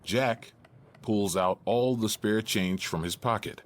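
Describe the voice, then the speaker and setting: A man's voice: on the low side, slow pace. A man narrating an audio drama, reading out scripted stage directions.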